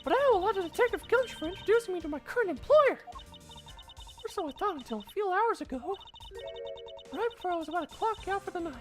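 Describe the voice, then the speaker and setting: A flustered, eager young woman guard from a detective game, chirping in a squeaky, soaring voice that leaps all over the place, as a man puts her on in falsetto. She gushes, apologizes and tumbles through her words in a live fan dub. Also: brisk, over music. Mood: rueful. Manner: high, excitable, breathy falsetto. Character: clumsy, eager young female security guard. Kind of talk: live one-man fandub.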